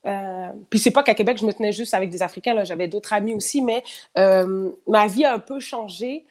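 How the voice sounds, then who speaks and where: A woman's voice: mid-range voice, a little fast, darkish tone. A woman in her thirties with a Quebec French accent and a slight France-French tone, talking candidly as a guest in a casual interview, with many fillers and restarts. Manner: candid, lots of fillers and repetitions, frequent laughs.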